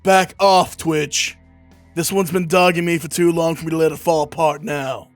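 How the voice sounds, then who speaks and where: Man playing high-voiced, sarcastic, impatient cop. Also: steady tone, normal speed, no echo, faint music behind, close to an everyday voice. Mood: irritated. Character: gruff, sarcastic, short-tempered male police detective. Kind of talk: comic book audio drama.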